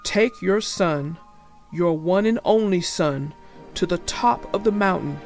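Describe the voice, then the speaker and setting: Commanding storybook deity, played by a female narrator; grave, unhurried, faint music behind. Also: lowish voice, a little mellow. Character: God, solemn commanding male deity of a Bible storybook.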